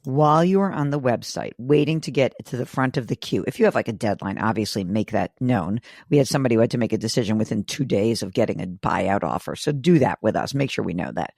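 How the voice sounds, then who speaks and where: Woman host, hoarse and raspy, brisk and direct, lively pitch, dry close sound. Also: no room sound. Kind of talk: call-in money advice show.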